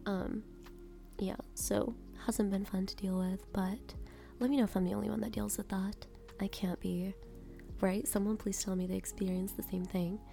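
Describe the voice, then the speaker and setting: Whispering young woman, softly congested. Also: medium pitch, level tone, moderate pace, creaky, faint music behind. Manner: soft whisper, chatty with fillers. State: slightly congested. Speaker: podcast-style rambler. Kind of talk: whispered casual ramble.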